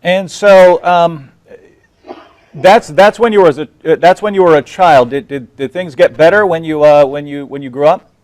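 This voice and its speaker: Higher-voiced man hosting, very dry sound. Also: moderate pace, darkish tone, no room sound, poor audio. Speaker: host interviewing guests. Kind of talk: hosted interview talk show.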